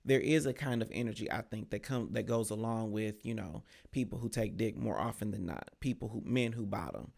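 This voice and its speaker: A young man talking candidly and casually as a podcast host, with a gravelly voice and frequent pauses and "um"s. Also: on the low side, expressive, a little mellow. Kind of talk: casual chat to camera.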